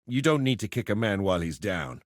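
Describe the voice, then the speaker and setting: A man's voice: lowish voice, big pitch swings, brisk, dry recording, studio quality. A man voicing a roguish arena fighter and pitmaster in a video game, with cheeky, slangy, theatrical tough-guy talk. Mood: wry, bargaining. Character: roguish adult male arena fighter and pitmaster turned syndicate member. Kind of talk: video game character voice lines.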